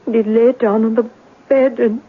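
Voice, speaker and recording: A woman acts out a working-class boarding house landlady in an old radio drama. She is uneasy and evasive, stumbling over folksy, ungrammatical phrases in a roughened voice. The broadcast sound is narrow and lo-fi. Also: fairly high pitch, fairly even intonation, moderate pace, lightly acted. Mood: weary, defensive. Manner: hesitant, stammering, folksy, ungrammatical. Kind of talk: 1970s radio drama acting.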